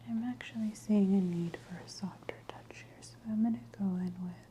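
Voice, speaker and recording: A woman speaking in a gentle, breathy whisper, soothing and reassuring, as an ASMR practitioner giving a calm treatment. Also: mid-range voice, fairly quick, lo-fi sound, slight background noise. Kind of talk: soft-spoken ASMR scalp exam roleplay.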